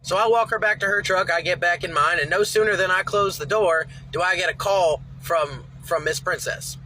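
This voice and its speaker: A man who drives a truck calmly telling what happened to him in a matter-of-fact way. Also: sits high, normal speed, husky, dry recording. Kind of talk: personal account. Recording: noisy background.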